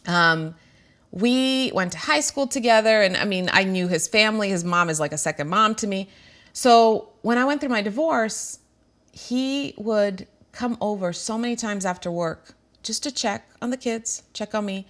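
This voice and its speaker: A woman's voice: medium pitch, lively intonation, moderate pace, a bit bright. A middle-aged woman hosting a talk show, speaking earnestly and emotionally to viewers about her own life, with frequent fillers. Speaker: show host. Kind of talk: candid personal talk to viewers.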